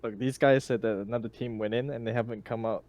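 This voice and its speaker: A man's voice: medium pitch, fairly even intonation, quick pace, dull audio. A man in a tabletop roleplaying game, talking casually as a sergeant in a blunt, joking way. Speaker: player as sergeant.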